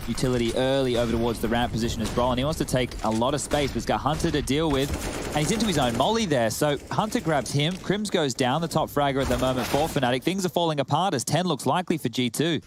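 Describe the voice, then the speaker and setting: A man's voice: mid-range voice, fast, music in the background. A man giving analytical commentary on an esports match, explaining tactics.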